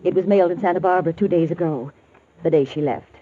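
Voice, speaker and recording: An actress playing an anxious, earnest client who pleads urgently for help, in 1940s radio drama style. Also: female voice, lowish voice, quick pace, lightly acted. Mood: troubled. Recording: muffled, narrowband old radio recording.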